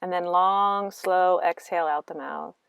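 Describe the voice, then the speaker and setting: A woman guiding a meditation in slow, gentle instructions with long pauses between phrases. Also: fairly low pitch, steady tone, vocal fry, smooth, dry recording. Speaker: meditation guide. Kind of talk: guided meditation narration.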